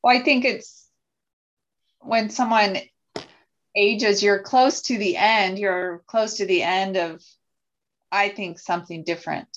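A woman talks earnestly in a podcast conversation and often restarts her sentences. Her voice sits at an ordinary middle pitch. The recording sounds dull and lo-fi. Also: steady tone, slow, pauses often, fairly bright tone. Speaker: podcast guest.

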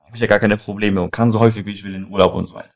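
A man's voice: fairly low pitch, fairly even intonation, rapid-fire, creaky. A young man from Germany talking casually and emphatically, telling personal stories in a colloquial way and addressing the listener directly. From Germany, born in Iran and raised in Mannheim. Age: young adult. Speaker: co-founder and co-host. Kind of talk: casual conversational storytelling.

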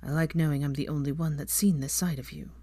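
A woman voicing a rough, teasing young man who talks in a low, husky, growling murmur. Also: fairly even intonation, fast talker, warm tone, dry recording, studio quality. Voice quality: husky whisper.

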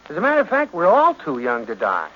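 Breezy wisecracking radio sidekick, muffled recording. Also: male voice, a little high, huge pitch swings, fast talker. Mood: flippant. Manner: breezy, wry, slangy. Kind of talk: old-time radio mystery acting. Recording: dull, noisy vintage radio recording.

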